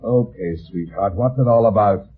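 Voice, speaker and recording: An actor playing a tough, brusque, sardonic private detective in an old radio drama. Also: male voice, fairly low pitch, normal speed, slightly rough. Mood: hard, suspicious. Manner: brusque, commanding, hard-boiled. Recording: tinny, narrow-band old radio recording.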